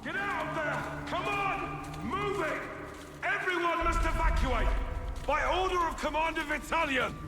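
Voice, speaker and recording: An urgent rallying officer. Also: male voice, very high voice, a little slow. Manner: rallying, urgent battlefield orders. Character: brave male Roman officer rallying troops. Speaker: soldier commander character. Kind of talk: video game cutscene acting. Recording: game audio over music.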